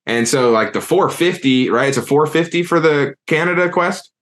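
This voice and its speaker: A man hosting a casual podcast, loose and excited, with lots of likes and you-knows. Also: a little high, normal speed. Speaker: podcast host.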